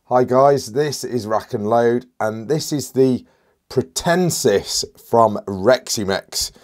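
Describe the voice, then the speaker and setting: A man, reviewing an air rifle in an enthusiastic, chatty way while hoarse and a bit stuffy from a cold. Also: medium pitch, takes it slowly, darkish tone. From United Kingdom. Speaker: airgun reviewer. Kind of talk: casual product review.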